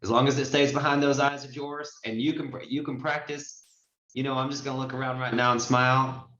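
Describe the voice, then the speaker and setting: A man's voice: medium pitch, level tone, a little fast, sharp tone. A man in his forties coaching people conversationally on a group video call, chatty and fond of illustrating points with examples. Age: 40s. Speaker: host and notary trainer. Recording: muffled, roomy video-call audio.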